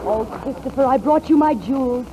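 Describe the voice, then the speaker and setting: A woman's voice: sits high, a little fast, highs cut off, noisy background, natural voice. A woman playing a glamorous, coquettish queen in a 1940s radio comedy sketch. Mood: flirtatious. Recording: old 1940s radio recording.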